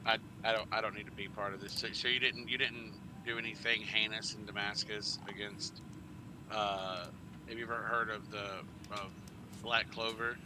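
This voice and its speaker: A man's voice: lowish voice, flat delivery, normal speed. A man playing a tabletop roleplaying game, speaking informally and bluntly as he narrates his character. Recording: noisy, ambient background noise.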